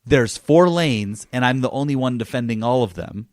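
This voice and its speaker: A man's voice: mid-range voice, expressive, normal speed, darkish tone, no room sound. Guy podcast host doing a casual game review, emphatic and repeats himself, dry close sound.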